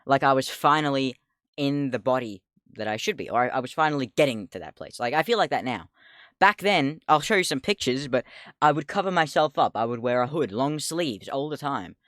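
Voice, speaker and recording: Deep-voiced young man rambling on stream. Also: expressive, brisk, fairly bright tone, dry recording, studio quality. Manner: rambling, easily distracted, laughs often, swears. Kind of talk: casual livestream chat.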